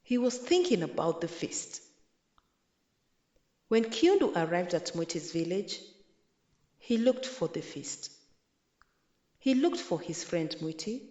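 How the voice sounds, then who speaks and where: A woman narrates a children's story in a roomy, echoing space. Her delivery is unhurried and deliberate, with a very animated melody that swings up and down. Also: lowish voice. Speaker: storyteller reading a children's book. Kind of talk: expressive read-aloud children's story narration. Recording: echoey, roomy sound.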